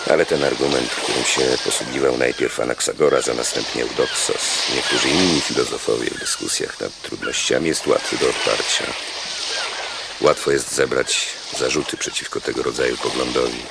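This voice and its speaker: An actor playing a learned, deferential slave in a radio drama, reciting in a measured, solemn, deliberate manner. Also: male voice, very deep voice, creaky, heavy background noise. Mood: solemn reading. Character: learned, deferential male slave reading philosophy aloud.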